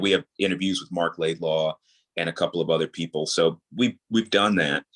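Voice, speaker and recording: A man speaking as a guest in a casual interview, earnest and reflective, building his thoughts in halting phrases. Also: low-pitched, a bit bright. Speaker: podcast guest, audio drama creator.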